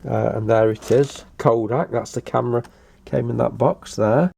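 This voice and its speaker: Older British man with creaky voice. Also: mid-range voice, moderate pace, dark tone. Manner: hesitant, matter-of-fact. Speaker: hobbyist video presenter. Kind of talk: casual show-and-tell commentary.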